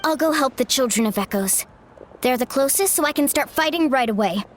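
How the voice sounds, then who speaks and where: Cocky cheerful young fighter. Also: female voice, high-pitched, animated, brisk, a little hiss or hum. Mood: upbeat. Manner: breezy, boastful. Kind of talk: game dialogue.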